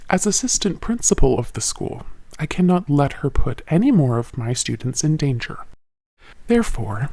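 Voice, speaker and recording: A woman's voice: very deep voice, darkish tone, no echo, pitched below the performer's own voice, heavily acted. A woman voicing a stern older nun who serves as assistant principal, speaking formally and coldly. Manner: formal, measured and cold.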